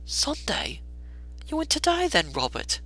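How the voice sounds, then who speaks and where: A gentle Victorian mother from a storybook, acted by a man. The voice is lifted well above a man's usual talk, soft and fond, and takes its time over the words. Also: extremely high pitch, wildly swooping pitch, a little slow, pitched above the performer's own voice, full character voice, rough recording. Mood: gentle, interested.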